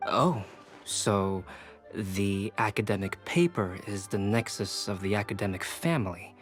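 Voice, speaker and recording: An actor playing a calm, polite, analytical young alchemist in a video game, speaking in a composed, formal, inquisitive way. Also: male voice, on the low side, a little slow, soft background music, lightly acted.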